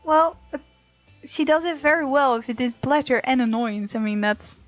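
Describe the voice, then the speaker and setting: A woman with a fairly high voice reads a game's story aloud as a let's play host. Her delivery is casual and reactive. The recording is a bit dull and lo-fi, with faint music behind her. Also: normal speed, no echo. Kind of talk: reading game text aloud with casual reactions. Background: faint game music.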